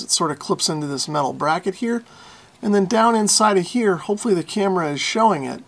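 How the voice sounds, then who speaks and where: A man's voice: sits high, gravelly, fairly bright tone. A man giving an informal, hands-on repair walkthrough in a folksy, self-deprecating way. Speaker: radio repair hobbyist and video host.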